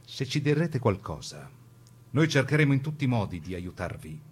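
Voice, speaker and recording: A man plays a fascist interrogator in a radio drama, coldly polite and mocking. His voice is mid-pitched and a bit rough, with insinuating menace. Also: very animated, moderate pace. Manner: condescending, insinuating. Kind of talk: stage radio drama dialogue.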